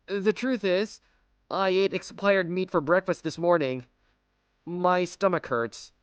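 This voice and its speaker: A man's voice: sits high, steady tone, takes it slowly, clear, resonant tone, no echo. A man voicing a kind, self-assured teenage schoolboy who narrates his own story in an anime-style dub, earnest and a little smug. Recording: music bed behind voice.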